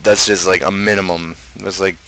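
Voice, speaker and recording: A man's voice: low voice, level tone, normal speed, fairly bright tone, slight background noise. A young adult man chatting casually on a livestream, rambling and opinionated as he rants. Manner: opinionated, ranting. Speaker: livestream host.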